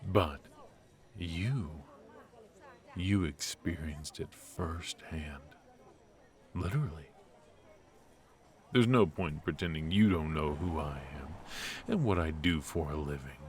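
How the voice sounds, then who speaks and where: Male actor as mafia boss, low-pitched, slow-paced, teasing, ruthless, protective, noir ASMR roleplay. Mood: flirty, sly.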